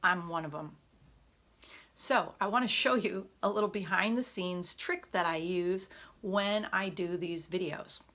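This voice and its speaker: Chatty, slightly low-voiced woman. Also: unhurried, a bit bright. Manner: chatty, frequent fillers and self-corrections. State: hoarse at times. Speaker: vlogger. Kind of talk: unscripted personal vlog. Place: home room. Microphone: camera on a tripod.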